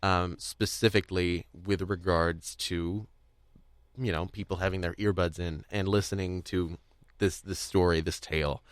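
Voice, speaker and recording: A man hosting a conversational podcast interview, speaking in a halting, rambling way as he searches for words. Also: low voice, steady tone, clear, resonant tone, dry recording. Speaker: podcast host.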